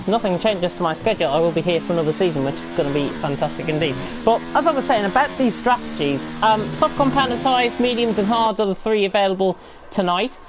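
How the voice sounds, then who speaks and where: A woman commentating live on an online racing league, croaky with a flu and rambling with frequent self-corrections. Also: on the low side, poor audio. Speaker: race commentator.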